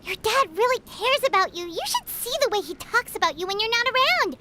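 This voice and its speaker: A woman voicing a small, chatty, eager girl companion in a game, with a high, squeaky voice and a teasing way of talking. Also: expressive, fairly quick, background noise. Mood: urging. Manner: chirpy and teasing. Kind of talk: game voice acting. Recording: clean studio voice with game music.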